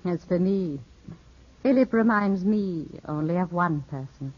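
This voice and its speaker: An enigmatic lady of a fifties radio play. Her voice is lowish and husky, her delivery genteel, coaxing and affectionate. The broadcast sounds dull and old. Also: lively intonation, measured pace. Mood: bright, gracious. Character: charming, teasing, enigmatic widowed lady, affectionate and composed. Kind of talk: 1950s radio drama acting.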